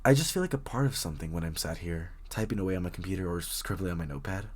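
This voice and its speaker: A man playing a very shy, friendly young writer in an intimate coffee shop roleplay, speaking hesitantly with nervous stammers and a husky voice. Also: low-pitched, animated, racing. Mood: chatty, friendly. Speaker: audio roleplay voice actor. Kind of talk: intimate one-sided roleplay acting, casual chat.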